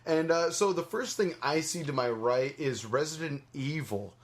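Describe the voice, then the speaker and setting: A man's voice: a little high, animated, moderate pace. A man co-hosting a podcast in casual, opinionated banter with friends. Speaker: podcast co-host.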